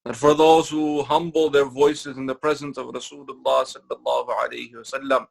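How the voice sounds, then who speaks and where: A man lecturing in a mosque, his voice gravelly with a mid-range pitch. He speaks slowly and deliberately, repeating key phrases, and he breaks into recited Arabic verses before explaining them in English. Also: flat delivery, low-quality recording. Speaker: imam giving a Ramadan lecture. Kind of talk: sermon-style religious lecture.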